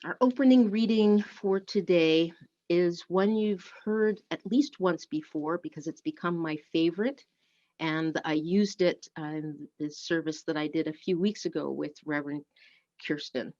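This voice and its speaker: A woman leading a church service, speaking and reading in a measured, deliberate way. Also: lowish voice, mellow. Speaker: worship service leader. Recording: dull, lo-fi online audio.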